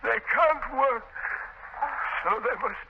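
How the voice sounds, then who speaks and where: An actor playing a fearful, despairing dungeon captive, halting and melodramatic in 1930s radio style. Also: male voice, very high voice, vocal fry, exaggerated voice. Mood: bleak. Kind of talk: 1930s radio drama acting. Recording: scratchy muffled 1930s radio recording.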